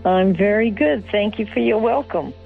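A middle-aged woman from Australia, a guest telling her faith story earnestly with many fillers and pauses. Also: medium pitch, vocal fry, faint music behind. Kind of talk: personal testimony interview. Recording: tinny phone-like sound, dry.

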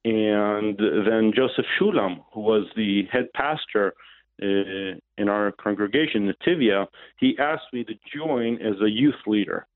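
A man in his forties from Israel with an Israeli accent, a radio interview guest answering questions in a halting way, with frequent pauses and fillers. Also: fairly low pitch, no echo. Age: 40s. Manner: hesitant, many pauses and "uh" fillers. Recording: tinny phone line.

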